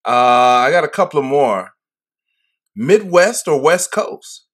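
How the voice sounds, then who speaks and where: A man's voice: medium pitch, lively intonation, takes it slowly, a bit bright. A man hosting a podcast interview, upbeat and hyped, often repeating his phrases. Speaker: podcast host. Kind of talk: casual interview chat.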